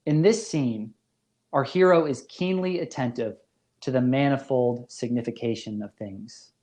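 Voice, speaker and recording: A man speaking as a professor in a scripted lecture, with deliberate, literary, measured phrasing. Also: mid-range voice, darkish tone. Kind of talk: scripted philosophy lecture narration.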